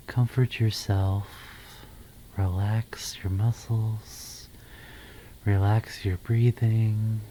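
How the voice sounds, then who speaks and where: A man guiding a meditation in ASMR style, speaking softly and breathily, half in a whisper, with a soothing, gentle manner and pauses between phrases. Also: on the low side, a little hiss or hum.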